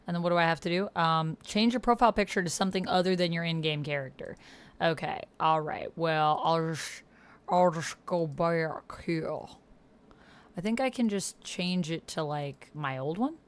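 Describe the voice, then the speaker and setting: A woman's voice: low voice, moderate pace, clear, resonant tone. A woman hosting a livestream, chatting informally, thinking aloud and reading on-screen text. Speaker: livestream host and player.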